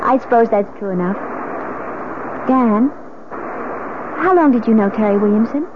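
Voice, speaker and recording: A woman's voice: on the high side, moderate pace, natural voice. An actress playing an eager young newlywed woman in 1970s radio drama style, chatting politely and defending her husband. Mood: uneasy, polite. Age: young adult. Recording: tinny, hissy old radio broadcast.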